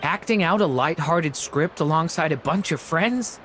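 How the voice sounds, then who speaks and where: Low-voiced gentle young adventurer. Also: female voice, moderate pace, slight background noise. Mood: content, relaxed. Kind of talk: video game voice acting.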